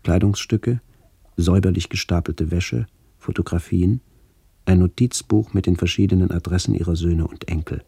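An actor playing a reflective middle-aged man narrating a literary radio play in a measured, contemplative way. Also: sits low, creaky, mellow, breathy edge, dry recording, clean studio sound, heavily acted. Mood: pensive. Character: reflective man visiting his ageing family.

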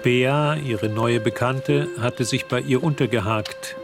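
A man's measured narrating voice in a radio play. It sits at a middle pitch and tells a mystery tale, with music clearly playing behind it. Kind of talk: scripted radio drama narration.

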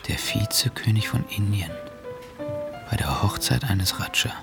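An actor playing an earnest, polite young gentleman in a literary radio drama, speaking in formal, reflective phrases. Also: lowish voice, expressive, unhurried, gravelly, over loud music.

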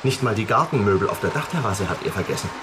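An actor in a German radio play voicing an inquisitive, earnest doctor who speaks emphatically and probingly. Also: male voice, lowish voice, expressive, fast talker, music in the background, lightly acted. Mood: amazed. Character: worried, inquisitive doctor, fascinated then alarmed.

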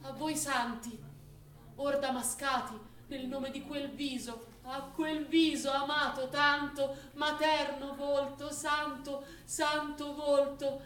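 An actor playing a grave poetic orator, reciting solemn, incantatory mourning verse in a theatre piece. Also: male voice, very high voice, little pitch movement, slow pace, fairly bright tone, exaggerated voice. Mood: mournful, hushed. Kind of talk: stage poetry recitation in radio theatre. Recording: roomy, distant stage recording with hiss.

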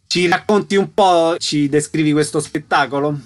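A man's voice: fairly high pitch, normal speed. A man conducting an interview, introducing his guest and putting questions.

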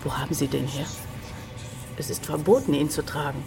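Low-voiced, curt woman hotel keeper. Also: fairly quick, gravelly, music in the background. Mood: alarmed. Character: wary hotel keeper, blunt and superstitious about judges. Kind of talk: radio drama acting.

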